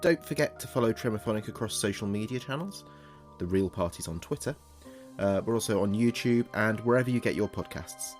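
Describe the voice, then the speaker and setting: A man's voice: lowish voice, animated, fairly quick. A man introducing his own podcast in a scripted style, his voice affected by a lingering illness. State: recovering from a cold-like illness. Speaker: podcast host and writer. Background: music behind the voice.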